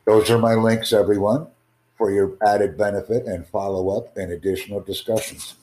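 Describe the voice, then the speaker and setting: A man hosting an exam-prep podcast, teaching in a slow, formal, deliberate way and asking rhetorical questions. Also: on the low side, fairly even intonation, dark tone, low-quality recording. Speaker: IELTS tutor and podcast host.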